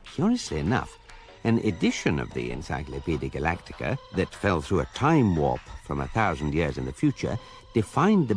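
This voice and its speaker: An actor playing an urbane electronic guidebook, narrating a radio comedy in a measured, deadpan, erudite way. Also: male voice, sits low. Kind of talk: scripted comic radio narration.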